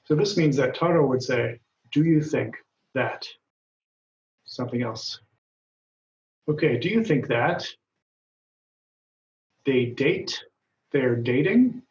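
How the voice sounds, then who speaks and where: A man's voice: medium pitch, expressive. Patient male english teacher, roomy far-off sound. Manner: patient, deliberate, lifts his voice when reading quoted lines. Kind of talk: slow, clear grammar lesson, reading example dialogues. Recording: dull, roomy sound from a distance.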